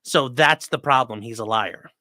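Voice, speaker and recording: A man's voice: mid-range voice, moderate pace, pushed and bright, dry recording. A man hosting a livestream, joking through sponsor reads and giving blunt, sarcastic opinions. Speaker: livestream host, defense attorney. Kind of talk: casual livestream commentary.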